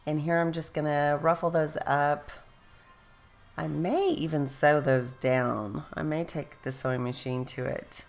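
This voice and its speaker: A woman's voice: low-pitched, fairly even intonation, measured pace, soft background music. A woman host narrating her scrapbooking process in a casual, rambling way, losing her voice and straining it, often correcting herself. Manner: rambling, self-correcting, chatty.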